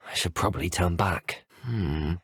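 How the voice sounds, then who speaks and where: A man's voice: deep voice, measured pace, fairly bright tone, husky, no echo, studio quality, exaggerated voice. A young man with an English accent voicing a polite, eager student wizard in scripted video game dialogue. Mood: earnest, curious. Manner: polite, well-spoken, earnest.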